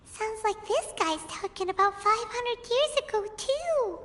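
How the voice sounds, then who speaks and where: A tiny fairy companion, chatty and blunt, squeaking at a very high pitch with a lively, bouncing melody. She drawls her words out slowly, and loud game music plays behind her. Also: heavily acted. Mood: uneasy. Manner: chirpy, blunt, exclamatory. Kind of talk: video game voice acting.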